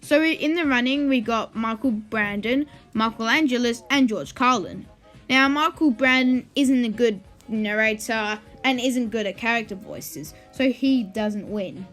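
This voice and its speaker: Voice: mid-range voice, unhurried, fairly bright tone, faint music behind. A video host giving informal opinion commentary in a ranking video, with conversational asides.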